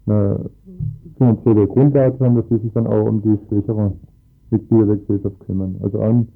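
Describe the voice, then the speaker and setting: A man's voice: lowish voice, little pitch movement, fairly quick, gravelly. A man speaking earnestly and emphatically as a resident in a political radio interview. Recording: tinny phone-like band, music behind.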